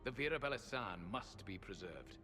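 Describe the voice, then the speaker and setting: An actor voicing an ancient elven sentinel leader in a video game, solemn, weary and guarded, with formal, measured phrasing. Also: male voice, lowish voice, animated, lightly acted. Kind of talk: dramatic video game dialogue. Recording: music underneath.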